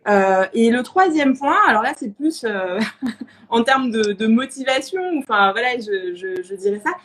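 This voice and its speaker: Woman interviewer, voice a bit on the high side, chatty, keeps feeling around for the right word. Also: unhurried, fairly bright tone. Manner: hesitant phrasing, searching for words. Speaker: interview host. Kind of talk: casual live interview, chatty.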